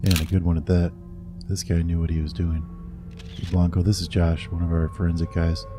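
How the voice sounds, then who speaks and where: An actor playing a gruff, wary veteran male detective with a dry, blunt manner. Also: sits low, level tone, brisk, music in the background.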